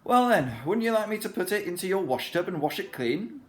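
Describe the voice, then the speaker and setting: A man voicing a cheerful, muddled old saucepan man who speaks loudly and eagerly. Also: sits high, big pitch swings, fairly quick, pitched above the performer's own voice.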